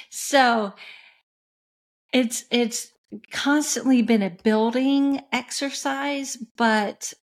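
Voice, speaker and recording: A middle-aged woman from West Tennessee with a soft voice, telling the story of her career as an interview guest, with pauses, fillers and restarts. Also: medium pitch. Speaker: interview guest, music industry coach. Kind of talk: reflective conversational storytelling.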